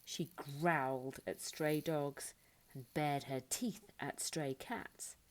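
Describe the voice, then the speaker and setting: A woman narrates a children's story at a slow, measured pace. Her pitch rises and falls widely, giving the reading an animated, expressive storytime feel.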